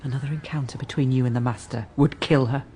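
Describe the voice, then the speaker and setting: A woman's voice: extremely low pitch, steady tone, fast, dark tone, slight background noise. A woman playing a plain-spoken, firm housekeeper in a period radio drama.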